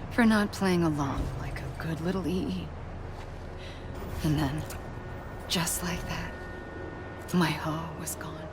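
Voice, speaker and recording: Grave, low-voiced female leader, music behind. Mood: sorrowful, reflective. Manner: measured, reflective, solemn, with deliberate pauses. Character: weary, grave woman leader confessing her life's mission. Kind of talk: dramatic scripted monologue in a video game cutscene.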